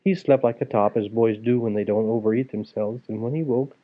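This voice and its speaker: A man's voice: on the low side, a little fast. A man reading a children's fairy tale aloud as a storyteller, acting it out as he goes. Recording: tinny, phone-like narrow sound.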